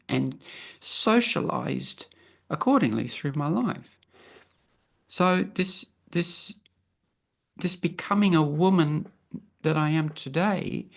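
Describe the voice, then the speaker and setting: Woman, 60s, croaky and gravelly, a thoughtful, earnest guest on a video call. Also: deep voice. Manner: earnest, thinking aloud with many restarts. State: croaky from travel. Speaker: interview guest. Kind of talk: reflective personal conversation.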